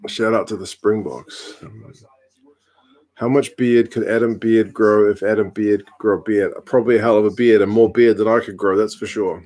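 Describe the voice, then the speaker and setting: A man, hosting a casual live stream from home, reading out viewer comments with a relaxed, chatty manner and dry humour. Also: fairly low pitch, moderate pace. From New Zealand. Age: 30s.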